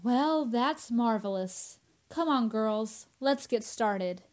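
A woman's voice: fairly high pitch, expressive, takes it slowly, darkish tone. A woman playing a prim, sensible young woman in an old-time radio drama, speaking properly and matter-of-factly. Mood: brisk. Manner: proper, reproving, matter-of-fact.

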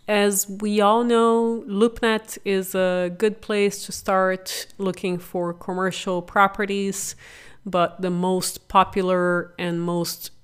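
A middle-aged woman hosting a podcast, her voice raspy from illness, chatty and upbeat with informal asides. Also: fairly low pitch. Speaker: podcast host. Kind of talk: solo podcast explainer.

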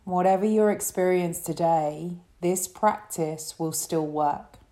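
A woman leading a guided relaxation meditation as a yoga teacher, speaking in a soothing, gentle way. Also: fairly low pitch. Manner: soothing, gentle, unhurried instruction.

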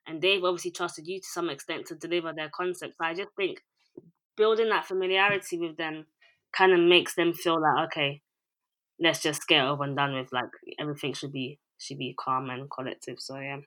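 Calm, level-voiced woman director. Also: low voice, a little fast, poor audio. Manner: calm, even, self-described monotone delivery. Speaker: music video director and producer. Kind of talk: interview guest, casual conversation.

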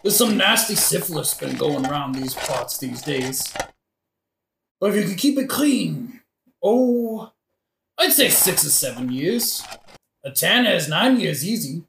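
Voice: medium pitch, very animated, unhurried, gravelly, a bit bright, full character voice. An actor in a satirical audio play playing a blunt, drunk, rambling old-hand gravedigger with a flippant, matter-of-fact manner. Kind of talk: satirical modern-language audio play acting. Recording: echoey room with faint noise.

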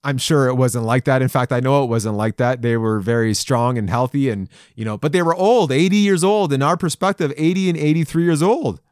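A man's voice: medium pitch, brisk, few pauses, a little mellow, clear, resonant tone, dry recording, clean studio sound. A man in his forties giving a folksy, encouraging sermon to men, with playful asides and chuckles. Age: 40s. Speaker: men's devotional speaker. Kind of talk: conversational podcast sermon.

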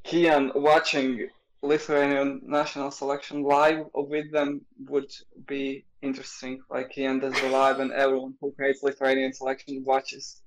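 A man's voice: mid-range voice, completely flat delivery, unhurried, a little hiss or hum, rough recording. A man co-hosting a casual podcast, often restarting his words and playfully pushing back on the others. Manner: stumbling restarts, playful pushback. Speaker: podcast co-host. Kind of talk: casual chat.

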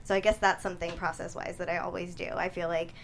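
Hesitant woman, slightly low voice, noisy recording. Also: flat delivery, gravelly. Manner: hesitant, thoughtful, halting delivery. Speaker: screenwriter guest being interviewed. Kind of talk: casual interview answers.

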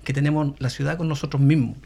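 A man's voice: medium pitch, fairly even intonation, fast talker, vocal fry, dark tone. A man with a Chilean accent, a guest on a talk show, telling his story in an earnest way. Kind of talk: radio talk show conversation.

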